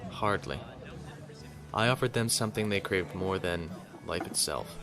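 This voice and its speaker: A man acting a composed young noble naval lieutenant in a science fiction audio drama, formal and measured, coolly defiant. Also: low voice, over music. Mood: composed, dry.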